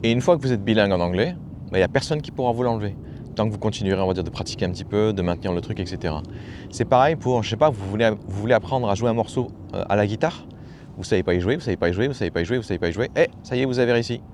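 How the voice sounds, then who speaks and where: A man speaks quickly in a low voice, like a coach talking straight to his viewers. His delivery is familiar and a bit slangy. He drives his points home by repeating phrases over and over, with a faint hiss of noise behind him. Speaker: personal development coach. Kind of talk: casual motivational talk to camera.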